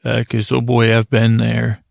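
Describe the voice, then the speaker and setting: Super creaky guy doing a sleep podcast, really dry close sound, keeps repeating himself and wandering off, kinda self-deprecating. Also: medium pitch, completely flat delivery, no room sound. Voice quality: gravelly, creaky. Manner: meandering, halting, often repeating words and trailing into tangents; gently silly and self-deprecating. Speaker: podcast host. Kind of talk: rambling bedtime monologue to help listeners sleep.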